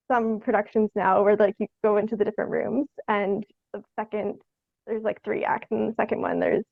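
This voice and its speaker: A woman's voice: a little high, creaky, no echo, phone-quality sound. A young woman chatting casually as an interview guest, tentative and meandering, with "like" sprinkled all through her sentences. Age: young adult. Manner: tentative, meandering, heavy use of "like". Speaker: podcast guest, theatre artist.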